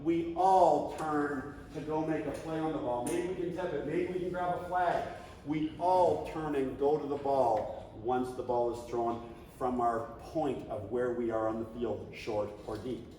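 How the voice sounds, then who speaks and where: A man leading a coaching clinic, talking in an animated, conversational way and now and then shouting coaching calls. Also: medium pitch, measured pace, slight background noise. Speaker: flag football coach. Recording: echoey hall, distant mic.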